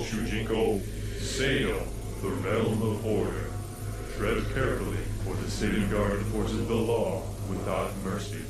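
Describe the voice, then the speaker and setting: An actor playing a stern, commanding spirit guide in a fantasy video game, speaking formally and portentously in measured, cryptic lines. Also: male voice, lowish voice, distant mic, lightly acted. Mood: solemn, instructive. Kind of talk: scripted fantasy game dialogue. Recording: echoing voice set back, loud music behind.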